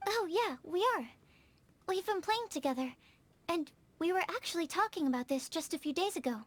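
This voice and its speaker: A woman's voice: extremely high pitch, animated, moderate pace. A woman voicing a perky, friendly, caring young girl in a video game. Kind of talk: video game character acting.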